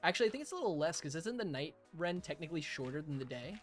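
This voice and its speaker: A hoarse young man live-streaming a game, chatting casually with viewers, chuckling and thanking them. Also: fairly high pitch, big pitch swings, racing, soft background music. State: hoarse, raspy throat.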